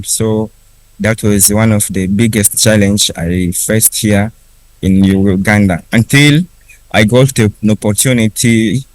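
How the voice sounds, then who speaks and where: A young man whose first language is Kiswahili, telling his personal story slowly in English, with pauses and restarts. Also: on the low side, no room sound, rough recording. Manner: unhurried, with frequent restarts and pauses. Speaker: refugee speaker at a conference.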